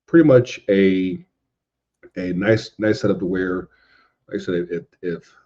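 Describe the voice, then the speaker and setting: A man chatting casually as a podcast guest, halting and circling back over his phrases. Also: low voice, dark tone, highs cut off. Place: indoors at home in a city.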